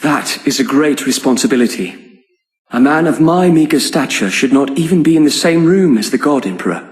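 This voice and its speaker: A man's voice: mid-range voice, expressive, fairly quick, breathy edge. A man playing an earnest, modest young nobleman in a video game, speaking formally and courteously. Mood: humble. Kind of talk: dramatic video game voice acting.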